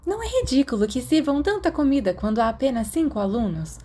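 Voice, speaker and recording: A woman's voice: sits high, very animated, fast, dark tone, husky, pitched above the performer's own voice, heavily acted. A woman with a Brazilian accent voicing a friendly, forthright, chatty young schoolgirl in an audiobook. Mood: puzzled.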